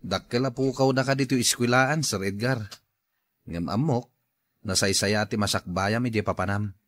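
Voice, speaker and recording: A man's voice: fairly low pitch, normal speed, a bit bright, natural voice. A man playing a sympathetic, advising school colleague in a radio drama, sounding concerned.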